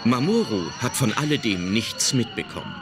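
A man's voice: mid-range voice, sing-song, moderate pace, natural voice. A man narrating an audio drama from a script.

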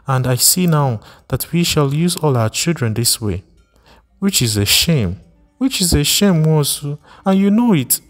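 A shrill, tearful pregnant wife, wailing and scolding her husband in a storybook reading, as a male narrator puts her on. Her voice climbs high and loud in anguished outbursts. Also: natural voice. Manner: wailing, scolding, rising to cries.

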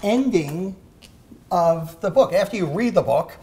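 A man hosting a kids' cooking show in a home kitchen, talking in a halting way with many "uh" fillers. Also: sits high, lively intonation, warm tone. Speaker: host.

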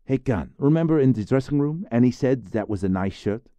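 A man voicing excited young boys on a summer outing, with an eager, raised boyish voice. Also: medium pitch, brisk, no room sound, voice pushed up, lightly acted.

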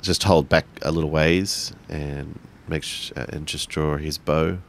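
A man playing a tabletop role-playing game, speaking for and narrating his character in a measured, halting way. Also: rumbling low, fairly even intonation, creaky.

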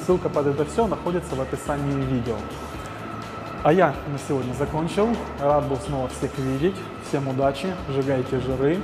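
A man in his thirties, a fitness trainer, explaining ab exercises in a gym on a lapel mic, pausing with fillers. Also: mid-range voice. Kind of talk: gym workout tutorial. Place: large indoor gym. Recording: echoey gym with music behind.

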